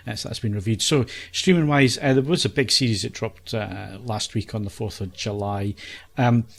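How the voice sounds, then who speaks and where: A man's voice: lowish voice, moderate pace, creaky. A man hosting a casual podcast and steering the conversation. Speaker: podcast host.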